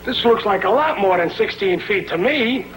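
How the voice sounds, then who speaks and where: An actor playing an earnest, slow-witted sidekick in a 1950s TV sitcom. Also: male voice, high voice, expressive, music in the background, poor audio. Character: dim-witted, eager sidekick pal. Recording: old TV soundtrack, loud noise.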